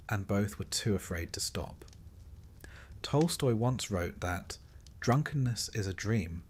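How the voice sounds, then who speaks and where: A man narrating a scripted history sleep story in a measured, literary way with dry irony. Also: on the low side, slight background noise.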